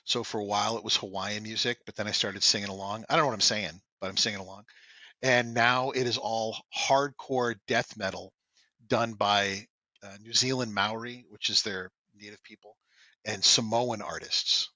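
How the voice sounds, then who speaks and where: A man with a low, very bright and forceful voice co-hosts a trade podcast in a loose, joking way. He teases his partner and keeps the chat lively, and the recording is close and dry with no room sound. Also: moderate pace, dry recording, lo-fi sound. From Boston area. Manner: jokey, teasing, animated. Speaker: co-host. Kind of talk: casual podcast banter.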